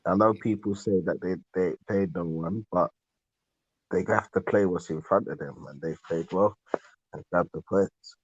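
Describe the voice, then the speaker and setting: A man's voice: sits low, normal speed, slightly rough, no echo. A man giving his views as a football podcast panellist in casual chat, on a dull microphone.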